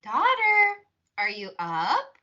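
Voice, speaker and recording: An insistent, dramatic noblewoman and mother, played by a young woman for a stage play. The voice is bright and high, with lively swings. The sound is a little dull. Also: slow pace, full character voice.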